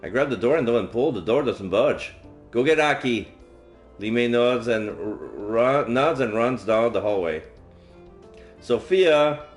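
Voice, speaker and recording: A man's voice: medium pitch, animated, measured pace. Male game streamer, medium register, narrates visual novel text with casual asides, light background music. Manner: dramatic reading mixed with offhand asides. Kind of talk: reading visual novel aloud, casual commentary. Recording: game music faintly behind. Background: video game music.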